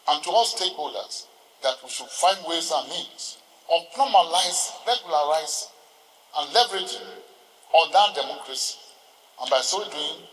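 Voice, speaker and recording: High-pitched Nigerian man orating in a hall. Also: fairly bright tone, slight background noise. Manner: oratorical, emphatic, deliberate phrasing with rhetorical repetition. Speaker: senior lawyer addressing a court. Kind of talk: formal ceremonial speech. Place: large courtroom hall. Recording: distant mic, long hall reverb.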